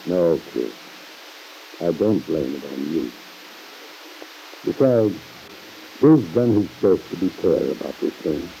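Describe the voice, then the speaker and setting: An actor playing an earnest, patient uncle, speaking in a measured, kindly way in an old-time radio soap opera. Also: male voice, sits low, animated, creaky, warm tone, heavily acted. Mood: gently concerned. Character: earnest, concerned uncle, a bank man talking gently to his niece. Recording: muffled hissy old radio recording.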